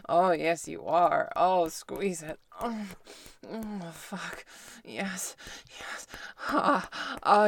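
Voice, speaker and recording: Female performer as a commanding, teasing adult heroine interrogator; breathy, unhurried and husky-low. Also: full character voice. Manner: breathy whispering, moaning, slow and sultry. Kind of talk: erotic audio roleplay.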